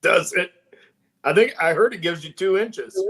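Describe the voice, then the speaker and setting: A man's voice: sits high, moderate pace, fairly bright tone, husky. A man co-hosting a podcast from a hotel room, trading wisecracks in casual banter. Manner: wisecracking, teasing. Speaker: podcast co-host.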